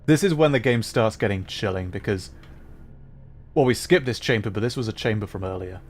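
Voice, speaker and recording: A man's voice: fairly low pitch, a little fast. A man giving casual live game commentary and chatting to viewers as a streamer. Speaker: livestreamer playing a video game.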